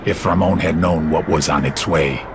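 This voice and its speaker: An actor playing a cold, philosophical drug lord, narrating in a measured, ominous way. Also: male voice, rumbling low, creaky, music in the background. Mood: cold menace. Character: cold, menacing, philosophical male drug cartel boss. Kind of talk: scripted, brooding narration. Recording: loud score under the voice.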